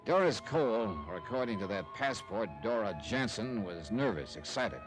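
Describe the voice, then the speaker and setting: A man's voice: on the low side, expressive, moderate pace, lightly acted. An actor playing a wry, sardonic investigator who narrates his case in a clipped old-time radio detective style. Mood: wry narration. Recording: vintage radio recording with music.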